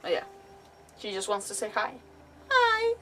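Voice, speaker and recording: A woman's voice: mid-range voice, huge pitch swings, unhurried, recorded from a distance, noisy background. A woman chatting casually and playfully as she livestreams a game. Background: faint game music.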